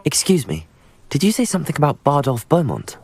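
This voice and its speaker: An actor playing a polite, composed young student wizard in a video game, speaking with a British accent and courteous restraint. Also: male voice, fairly high pitch, huge pitch swings, brisk, a little mellow, breathy edge, no echo, full character voice.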